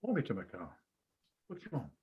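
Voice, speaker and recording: Man reads skeptical young woman, terse and blunt, animated intonation, medium pitch. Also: moderate pace, highs cut off. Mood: wary, blunt. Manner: terse, questioning. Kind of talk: screenplay table read.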